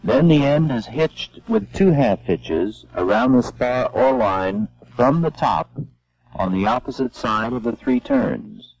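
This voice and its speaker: A man giving measured, step-by-step scripted narration for an instructional film. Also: mid-range voice, big pitch swings, darkish tone. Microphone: voice-over. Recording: dull lo-fi old film soundtrack.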